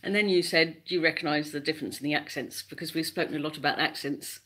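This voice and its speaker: A co-host with an English accent, chatting casually, laughing often and asking follow-up questions. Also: male voice, on the high side, fairly even intonation, fast, bright, forceful tone, poor audio. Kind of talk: casual livestream chat.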